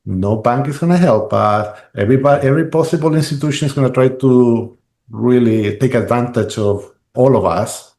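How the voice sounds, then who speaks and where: A man's voice: medium pitch, normal speed, mellow. A man. He talks in loose, rambling runs full of restarts and "right?" tags. His voice sits in a roomy, echoing space. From Chile. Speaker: guest. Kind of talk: podcast interview.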